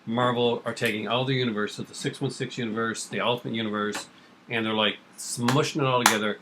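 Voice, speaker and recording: A man's voice: mid-range voice, steady tone, quick pace. A middle-aged man hosting a casual video chat, with a rambling, opinionated way of talking. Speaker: host of a video channel. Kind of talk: casual chat about comics. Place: kitchen at home. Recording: faint background noise.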